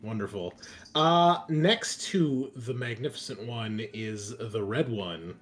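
A man's voice: mid-range voice, slow. A man hosting a tabletop game in casual chat, joking and swearing freely as game master.

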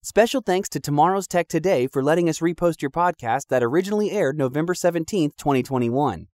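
A man reading a scripted podcast introduction in a smooth manner. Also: fairly high pitch, lively intonation, brisk, darkish tone. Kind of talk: scripted narration.

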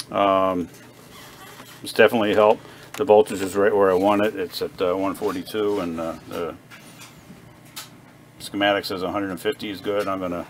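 A man with a very low voice talks casually through hobby repair work. He is plain-spoken and a little halting, thinking aloud as he goes. Faint noise sits behind him in an indoor workshop recording. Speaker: hobbyist radio repair vlogger. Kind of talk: casual unscripted workbench narration. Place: indoor home workbench. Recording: clear background noise, workshop ambience.

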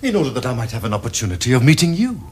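A breezy, evasive young gentleman in a period television comedy. He talks very quickly in a husky upper-class English voice, with lively swings of intonation. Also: medium pitch. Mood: eager. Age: young adult.

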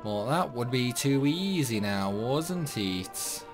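A man's voice: mid-range voice, animated, slow. A man giving casual playthrough commentary on a video game, rambling along with grumbling asides. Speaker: gaming video host. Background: game music.

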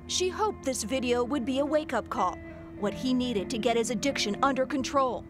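A woman reads a scripted news package as the reporter. Her voice sits on the high side, with music playing clearly behind her. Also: expressive, moderate pace. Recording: music underneath.

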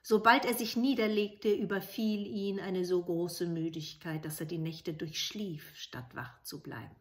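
A woman's voice: fairly low pitch, measured pace. A middle-aged woman narrating a fairy tale for children expressively, with dramatic shifts in volume. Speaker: storyteller. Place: room in a home.

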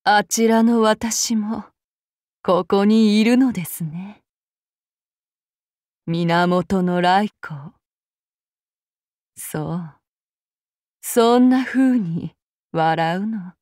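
An actress voicing a calm, menacing samurai woman of demon blood, speaking in formal archaic phrasing to her master. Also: medium pitch, extremely slow, long pauses, no echo, clean studio sound. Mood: cold, brooding. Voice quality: formal, archaic courtly speech. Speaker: game character voice actress. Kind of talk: game character voice lines.

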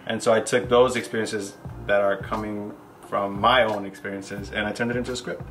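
A man's voice: lowish voice, brisk, faint music behind. A young man from the Bronx with a New York accent, casually explaining a recipe step by step in a home kitchen. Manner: relaxed, laughs often, explains step by step. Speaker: cook showing a recipe. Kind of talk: casual cooking demonstration.

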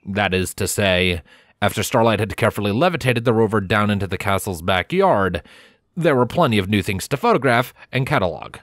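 A man narrates scripted fiction in the manner of an audiobook. His voice sits fairly low, and the recording is very dry. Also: expressive, fairly quick, fairly bright tone, dry recording.

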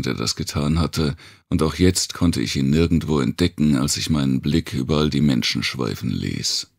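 A man narrating a post-apocalyptic thriller audiobook in the first person, with a measured, deliberate delivery and dramatic pauses. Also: extremely low pitch, vocal fry, no echo, clean studio sound.

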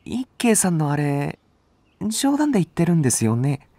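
A man's voice: a little high, very animated, normal speed, dark tone, dry recording. A man voicing an earnest young rookie nursery teacher in a voice drama, polite and enthusiastic. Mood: earnest concern. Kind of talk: Japanese voice drama acting.

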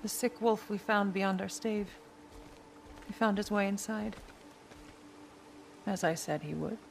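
An actress playing a gentle yet resolute young warrior wife in a video game, speaking in an earnest, measured way. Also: female voice, mid-range voice, vocal fry, slight background noise. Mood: earnest, reproachful. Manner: earnest, measured, quietly firm. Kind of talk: cinematic video game acting. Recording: music behind the voice. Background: music.

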